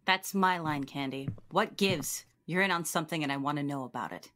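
A woman playing a tough, suspicious police detective with a gruff, sardonic manner in an audio drama. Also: low-pitched, fairly quick, a bit bright.

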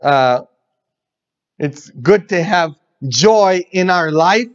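A priest in his thirties gives a homily in slow, unhurried phrases and tells stories with animated energy. His voice sits on the higher side. The webcam sound is slightly muffled, with a long church echo. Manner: animated storyteller, gestures a lot. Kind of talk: sermon. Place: church interior, large. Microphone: webcam in front.

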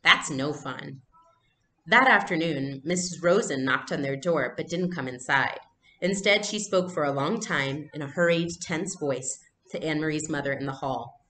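A woman's voice: low-pitched, moderate pace, a bit bright, reverberant. A young adult woman giving a read-aloud lesson as a teacher, explaining and narrating, recorded at home on a computer mic. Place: small furnished room at home.

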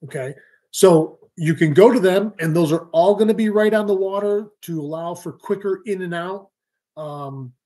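A man's voice: fairly high pitch, mellow. A man, hosting a live fishing stream from a small room at home, explaining things casually with lots of ums and restarts. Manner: talks with his hands, many ums and restarts. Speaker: fishing show host. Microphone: webcam or laptop mic, video call framing.

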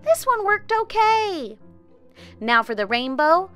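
A woman in her thirties hosting a children's craft show, narrating an experiment in an expressive, child-friendly way. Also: very high voice, slow pace. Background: background music.

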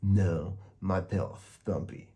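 A man's voice: sits low, fairly even intonation, dark tone. Man doing a big, slobbery, whining boy monster. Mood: goofy menace. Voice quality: slurping, slobbery. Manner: drawn-out, whining, trying to sound terrifying. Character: big scruffy whiny slobbering male monster with long tongue.

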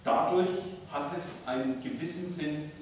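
Echoey male pastor preaching from afar. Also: on the high side. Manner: measured, deliberate, pausing between short phrases. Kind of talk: sermon. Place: large church interior, speaking from a pulpit. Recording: echoey church, distant mic, faint noise.

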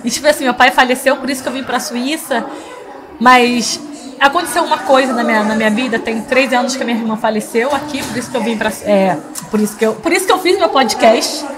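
A woman in her forties hosting a podcast interview in a small studio, talking warmly and emotionally with frequent laughs. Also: on the high side, quick pace. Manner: warm, emotional, laughs often. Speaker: podcast host. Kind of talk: casual interview chat. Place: small studio in front of a logo wall. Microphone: studio mic on boom arm.

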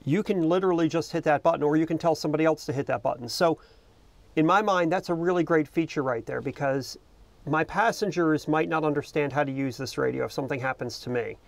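A man's voice: fairly high pitch, fast talker, vocal fry, no room sound. A middle-aged American man explaining casually and informally, as a vlog host recording outdoors on a small boat. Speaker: boating video host.

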